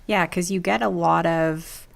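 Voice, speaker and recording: A woman's voice: lowish voice, fairly even intonation, unhurried, vocal fry. A woman hosting a podcast, asking probing questions and affirming her guest with "right" and "mm-hmm". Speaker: podcast host. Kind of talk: casual interview chat.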